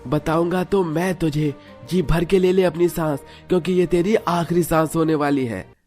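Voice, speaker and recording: A man voicing a brash teenage schoolboy in an animated horror story. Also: a little high, normal speed, natural voice. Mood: aggressive, taunting. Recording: music under the voice.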